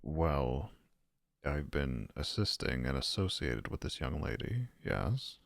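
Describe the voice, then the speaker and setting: A gentle younger brother with a poet's soul in an audio drama. His voice is extremely deep and lively in its movement, with a crackling gravel to it. He speaks hesitantly and tenderly, choosing poetic words. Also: slow, exaggerated voice. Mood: fond, hesitant. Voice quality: gravelly, husky. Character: gentle lovestruck poet, younger brother, patient and evasive.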